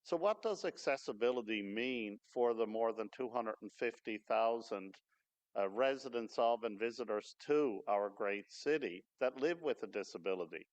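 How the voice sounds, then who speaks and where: A man speaking for a volunteer advisory committee, giving a formal speech at a podium in a large hall with a loud voice and frequent fillers. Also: on the low side, expressive, moderate pace. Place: large city hall event space. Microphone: podium microphone.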